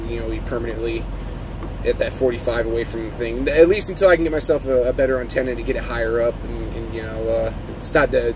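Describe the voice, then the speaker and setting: A man who drives trucks talks in a casual, unscripted vlog, rambling informally as he explains things from his parked truck. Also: mid-range voice. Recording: clear steady vehicle and engine-like noise.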